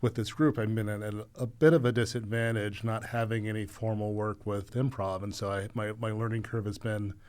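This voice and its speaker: A man with a fairly low voice talks casually as a guest on a podcast. He is modest about himself and measured, feeling his way through his sentences in a slightly hesitant way. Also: little pitch movement, a little mellow, clear, resonant tone. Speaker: guest, actor and voice actor.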